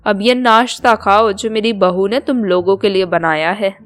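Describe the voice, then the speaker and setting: A woman's voice: medium pitch, normal speed, no echo, faint music behind, close to an everyday voice. A woman playing a proud older mother-in-law. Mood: defiant. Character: older small-town mother-in-law eager to seem modern. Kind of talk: Hindi storybook cartoon dialogue.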